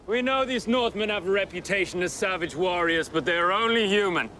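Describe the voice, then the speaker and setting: Man acting a high, projecting, devout war commander. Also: fairly quick, natural voice. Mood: defiant zeal. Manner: loud, projected, exhorting. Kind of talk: period drama battle speech. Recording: noisy outdoor crowd behind voice.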